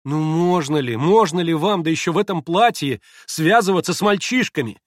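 A man reading aloud, voicing an excitable, imperious young invalid girl who gives urgent commands. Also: medium pitch, a little fast, no room sound, studio quality, lightly acted.

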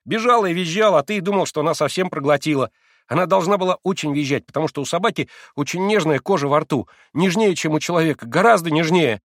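A man's voice: mid-range voice, animated, normal speed, hardly any pauses, a bit bright, dry recording, studio quality. A man voicing a proud, eager teenage boy in an audiobook, exclaiming and explaining in a bossy showman's way. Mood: elated, eager explaining. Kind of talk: acted audiobook dialogue.

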